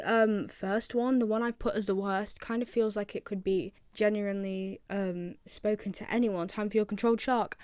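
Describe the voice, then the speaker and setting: A woman's voice: mid-range voice, a little mellow, no echo. A young woman giving casual, rambling commentary as a video creator, with a self-deprecating manner.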